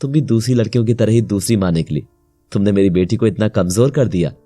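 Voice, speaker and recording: A man voicing a devoted, emotional young widowed father in a melodramatic animated moral story, speaking earnestly. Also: fairly low pitch, quick pace, pitched below the performer's own voice, lightly acted. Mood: angry, regretful.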